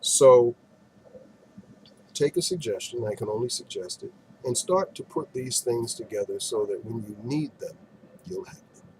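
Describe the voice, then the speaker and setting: A man with a mid-pitched voice presents practical advice as a show host. He sounds folksy and instructive, stressing key points and posing questions to the viewer. The amateur recording carries some background noise. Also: animated, normal speed, pauses often. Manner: folksy, instructive, emphatic phrasing with rhetorical questions. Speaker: financial advisor hosting an advice segment. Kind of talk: direct-to-camera advice and product show-and-tell.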